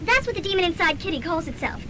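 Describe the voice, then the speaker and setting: Chirpy girlish character, radio drama, polite, very high pitch, lively intonation, faint rumble. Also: fast talker. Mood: earnest. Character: chirpy, sweet young woman, secretly possessed, cheerfully ruthless. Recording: faintly noisy student radio recording, rumble.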